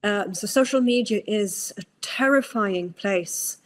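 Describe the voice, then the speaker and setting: A woman's voice: mid-range voice, unhurried. A Norwegian woman speaking English with a British-tinged accent, a psychologist giving long, thoughtful interview answers with many fillers and restarts. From Norway. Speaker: guest psychologist.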